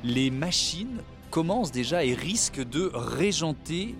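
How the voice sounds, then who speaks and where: A man's voice: on the high side, very animated, soft background music. Male radio co-host asking guests questions. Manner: measured, interviewing with fillers. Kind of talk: radio talk show hosting.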